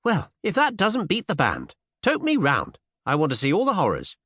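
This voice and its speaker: A man plays a brash, pert young woman in a period audiobook story. The voice is lifted high and swings widely in pitch. The delivery is emphatic and teasing, full of bold curiosity. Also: wildly swooping pitch, normal speed, bright, forceful tone, slightly rough, no room sound, clean studio sound, heavily acted. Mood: eager, excited. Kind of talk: audiobook character dialogue with period slang.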